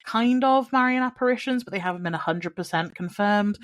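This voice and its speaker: A woman co-hosting a casual podcast, chatty and agreeable, full of "like" and quick asides. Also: medium pitch. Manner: chatty, lots of likes and agreeing mms. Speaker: podcast co-host.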